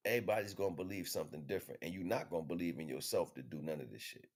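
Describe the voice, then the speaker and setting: A man delivering an impromptu spiritual monologue in an emphatic, repetitive, slangy street-preacher manner, with a husky voice. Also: sits low, very fast. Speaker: spiritual teacher streaming online.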